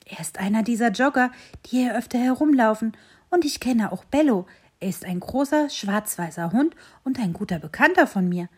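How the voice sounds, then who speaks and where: A woman doing a gentle little fir tree. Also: on the high side, normal speed, slightly rough. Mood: musing. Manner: calm, gently persuading. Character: small wise fir tree, kind and calm.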